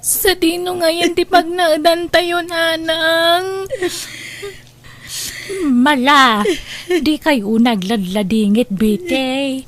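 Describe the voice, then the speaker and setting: A woman playing a caring young daughter who gently consoles, in a radio drama, with an Ilocano Filipino accent. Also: very high voice, very slow, pauses often, full character voice. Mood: gentle, consoling. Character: caring, resilient young daughter comforting her mother.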